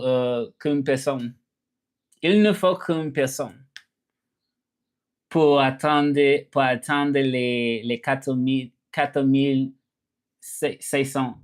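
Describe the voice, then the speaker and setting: A man's voice: mid-range voice, clear, resonant tone. Southern American man chatting live. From Memphis, Tennessee, USA. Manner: repeats phrases, talks straight to viewers, switches languages mid-thought. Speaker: live streamer and language teacher. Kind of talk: casual live-stream chat, switching between English and French.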